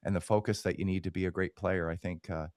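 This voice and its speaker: A man's voice: low-pitched, fairly even intonation, fast talker, darkish tone, no echo, clean studio sound. A man hosting a podcast, chatting in a candid, self-deprecating way with his guests. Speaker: podcast host. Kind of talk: casual interview chat.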